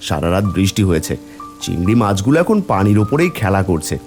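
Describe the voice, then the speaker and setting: Casting: male actor as humble cartoon villager dad, low pitch, plaintive and hesitant, music underneath. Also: sing-song, fast talker, darkish tone. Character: poor humble village father, hesitant and pleading. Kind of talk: cartoon fairy-tale dialogue acting.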